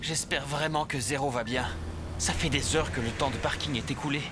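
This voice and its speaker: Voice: mid-range voice, brisk, music in the background, lightly acted. A performer playing a friendly, worried young male hero with an earnest manner in a French-dubbed cartoon. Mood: worried, apologetic.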